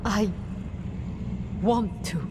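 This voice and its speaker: A woman playing a devout, obsessed scholar mother in a fantasy game cutscene, halting and fervent in her delivery. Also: medium pitch, background noise. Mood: hesitant, yearning. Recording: cinematic music behind.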